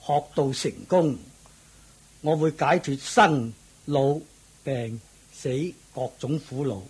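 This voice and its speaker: Old cantonese monk, very breathy and husky, slow, solemn, radio drama. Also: male voice, medium pitch, rough recording.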